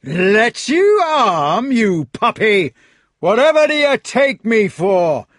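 A man voicing a fat, blustering male knight who shouts slowly and heavily in furious accusation. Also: on the high side, wildly swooping pitch, no echo, studio quality, pitched above the performer's own voice, heavily acted. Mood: furious shouting. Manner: shouting, slow, heavy.

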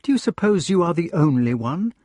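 Storybook noblewoman played by a man: raised pitch, husky tone, firm, plaintive, reproving, sharp-tempered. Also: sing-song, brisk, dry recording, studio quality. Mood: plaintive, weary. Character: sensible, sharp-tempered noblewoman scolding her lover, no longer young. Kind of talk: audiobook character dialogue.

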